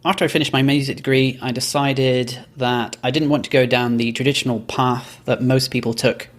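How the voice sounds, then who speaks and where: A man of middle pitch who grew up in Belgium, appearing as a podcast guest. He tells his story at length in a measured, reflective manner. He backtracks now and then to fill in details. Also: steady tone. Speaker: podcast company founder, guest. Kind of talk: casual podcast interview.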